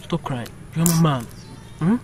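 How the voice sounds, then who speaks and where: A man's voice: fairly high pitch, unhurried, lightly acted. An actor playing a young man's loyal friend who bluntly urges him to stop crying. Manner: blunt, coaxing. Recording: clear background noise.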